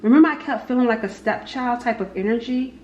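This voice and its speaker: A woman's voice: fairly high pitch, darkish tone, muffled recording. Female tarot host, informal and improvised, repeats herself while reading cards; roomy sound with slight background noise. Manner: halting, repeats phrases, talks to spirit and cards. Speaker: tarot reader, channel host. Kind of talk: casual tarot card reading, unscripted. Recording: echoey room with faint background noise.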